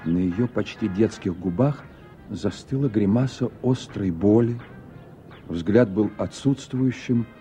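A man reading scripted literary narration for a radio play, measured and with deliberate pauses. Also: lowish voice, dark tone. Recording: dull old radio recording, faint music. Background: faint music.

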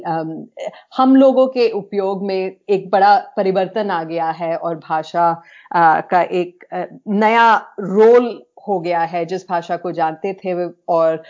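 An Indian woman co-hosting a podcast in a conversational, thoughtful way, often pausing to find her words. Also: lowish voice, a little mellow. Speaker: podcast co-host. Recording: dull, lo-fi audio.

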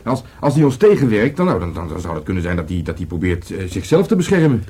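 Rapid, stammering police sergeant, husky voice. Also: male voice, deep voice, sing-song, a little mellow, exaggerated voice. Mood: hesitant, insistent. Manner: hesitant, stammering, repeats words. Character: blunt, stammering police sergeant eager to arrest. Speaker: actor in a radio play. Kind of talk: Dutch radio play acting.

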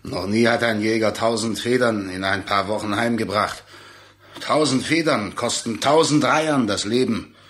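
An actor playing a proud feather hunter, politely stubborn, in a German radio play. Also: male voice, lowish voice, normal speed, fairly bright tone, natural voice.

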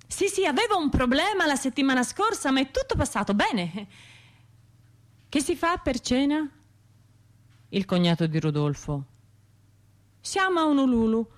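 An actress playing a wounded, bitter bombing survivor in a radio theatre monologue, confiding in colloquial speech. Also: female voice, high voice, very animated, normal speed, long pauses. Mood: haunted, sardonic. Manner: colloquial, confiding, wry, shifting between narration and imagined voices.

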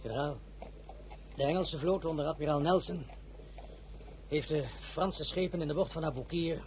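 An actor playing a subdued army officer, hesitant and deferential, reporting in a radio drama. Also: male voice, a little high, moderate pace, a little mellow, slight background noise, lightly acted. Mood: subdued, grave. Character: subdued French army officer bringing bad news.

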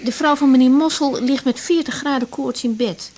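An actress playing a brisk young woman doctor in a radio drama, exasperated but dutiful. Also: high-pitched, darkish tone, a little hiss or hum, close to an everyday voice. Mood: hurried. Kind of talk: Dutch 1980s radio drama acting.

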